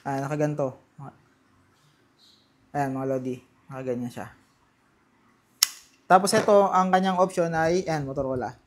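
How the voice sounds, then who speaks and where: A man with a Filipino accent giving casual, unscripted unboxing commentary, halting and thinking aloud as he handles things. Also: a little high, a little hiss or hum. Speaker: vlogger presenting product unboxing.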